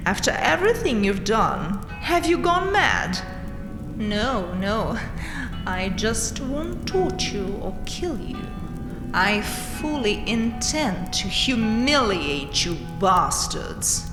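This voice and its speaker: A woman playing a proud, vengeful vampire queen in a dramatic audio roleplay, speaking with haughty menace and mocking pauses. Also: mid-range voice, big pitch swings. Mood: scornful, resolute. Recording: roomy, long hall-like reverb. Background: dark castle ambience music.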